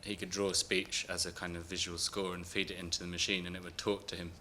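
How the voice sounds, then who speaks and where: A man in the audience with a very low, flat voice and a bright, forceful edge. He rambles and corrects himself, and faint noise sits behind him. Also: quick pace. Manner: rambling, loose, self-correcting. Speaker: audience member asking a question. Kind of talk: casual audience remark.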